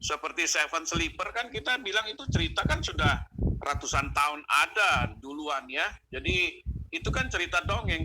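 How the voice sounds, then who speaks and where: Higher-voiced man commenting, noisy line. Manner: repeats phrases for emphasis, seeks agreement. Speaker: panelist on an online religious talk show. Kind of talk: opinionated conversational commentary with religious exhortation.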